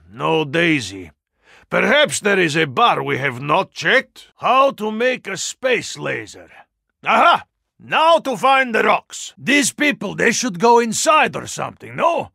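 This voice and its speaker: A male actor playing a huge, earnest, courteous Russian yeti in a video game, with a Russian accent, gravelly voice and formal, stilted phrasing. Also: sits high, sing-song, slow, bright, forceful tone, dry recording, studio quality, exaggerated voice. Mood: earnest, insistent. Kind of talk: video game character voice lines.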